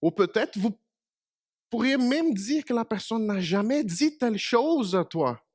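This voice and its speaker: Preacher, male, native Portuguese speaker, slightly high voice, chatty sermon to a few people. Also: lively intonation, unhurried, darkish tone, dry recording, clean studio sound. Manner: conversational, asks the congregation questions. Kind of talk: short church meditation. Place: small church.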